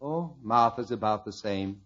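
A man's voice: on the low side, lively intonation, unhurried, a bit bright, breathy edge, exaggerated voice. An actor playing a mild, absent-minded old grandfather in a radio comedy, speaking in a vague, bluntly matter-of-fact way. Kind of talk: 1940s-50s radio comedy acting. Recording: muffled, lo-fi old radio recording.

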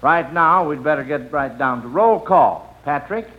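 A man's voice: a little high, lively intonation, measured pace, phone-quality sound. An American man hosting an old-time radio quiz show, on a vintage recording.

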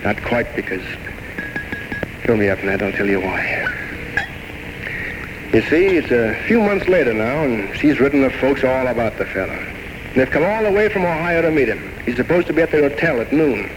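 A man's voice: medium pitch, very animated, normal speed, natural voice. An actor playing a tormented, grandiose alcoholic writer in his thirties, rambling theatrically and bitterly mocking himself in an old radio drama. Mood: brooding narration. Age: 30s. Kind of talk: 1940s-1950s radio drama acting. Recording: noisy old radio broadcast recording.

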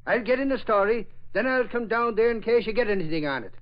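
A man's voice: high-pitched, animated, fast. An actor playing a bumbling, boastful newspaperman, hemming and blustering in a 1940s radio drama. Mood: self-important. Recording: dull old radio recording.

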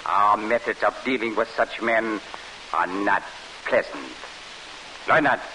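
An actor playing a stern, menacing Nazi camp commandant in 1940s radio style. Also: male voice, on the low side, measured pace, sharp tone, low-quality recording. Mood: cold, threatening. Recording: hissy vintage radio broadcast recording.

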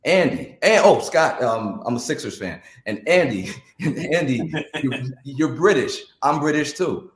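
A man from Scotland, raised elsewhere, speaking as a panel guest with an effusive, halting manner. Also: medium pitch, big pitch swings, reverberant.

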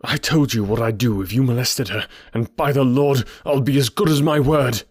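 A man's voice: mid-range voice, moderate pace, a little mellow, breathy edge, dry recording, studio quality, lightly acted. A man voicing a frantic, desperate male ally in an audiobook story, exclaiming with urgency. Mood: defiant.

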